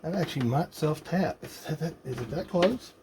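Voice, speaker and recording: A man's voice: a little high, moderate pace. Man muttering through a noisy workshop build. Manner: muttering, thinking aloud while working. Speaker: hobbyist radio builder. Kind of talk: casual how-to workshop narration. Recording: noisy workshop recording with clicks.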